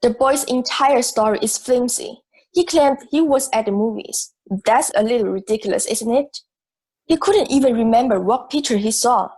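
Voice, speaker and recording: A woman's voice: on the high side, normal speed, a little mellow, slightly rough, rough recording. A woman playing a logical, assertive, matter-of-fact juror in an amateur audio drama.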